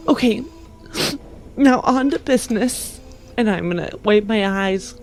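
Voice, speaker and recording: Female tabletop player, earnest, halting, unhurried improvised delivery, mid pitch, faint music underneath. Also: darkish tone. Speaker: player in a tabletop roleplaying game. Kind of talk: improvised in-character roleplay with narration.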